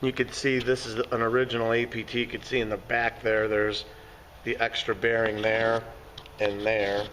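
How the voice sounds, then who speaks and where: Hoarse tradesman, low-pitched, folksy how-to talk, recorded on a phone in a parking garage with faint hum behind. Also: little pitch movement, normal speed, a bit bright, low-quality recording. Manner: casual, folksy explaining. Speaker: garage door technician. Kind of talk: hands-on work walkthrough. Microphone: handheld phone camera.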